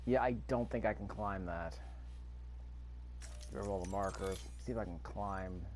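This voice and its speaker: A man's voice: low-pitched, measured pace, darkish tone, a little hiss or hum. A man giving casual gameplay commentary, thinking aloud to himself as he plays. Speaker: gamer recording a gameplay video.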